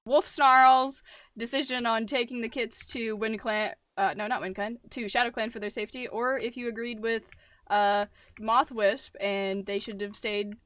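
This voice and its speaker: A woman's voice: on the high side, fairly even intonation, normal speed, a bit bright, no room sound. A woman hosting and narrating a roleplay story, casual with fillers when speaking to viewers.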